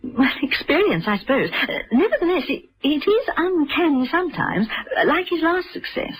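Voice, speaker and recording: An actress playing a helpful, composed British stockbroker's secretary in a radio drama, explaining things politely. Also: female voice, high-pitched, big pitch swings, moderate pace, low-quality recording. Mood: thoughtful.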